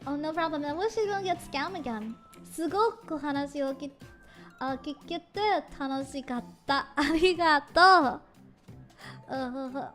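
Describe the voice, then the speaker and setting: A woman streamer chatting casually and giggling, switching between English and Japanese. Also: sits high. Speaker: VTuber streamer. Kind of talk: casual live-stream chat.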